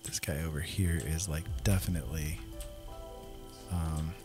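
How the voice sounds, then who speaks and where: A man's voice: rumbling low, steady tone, measured pace. A man giving casual commentary over a video game playthrough, easygoing and thinking aloud as he plays. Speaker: gaming video host. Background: game soundtrack music.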